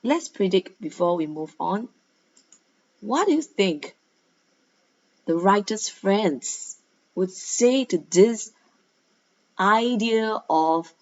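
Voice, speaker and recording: A woman teaching a guided read-aloud lesson, asking questions and stressing key words as she explains. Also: mid-range voice. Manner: instructive, rhetorical questions with emphatic key words. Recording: dull, lo-fi sound.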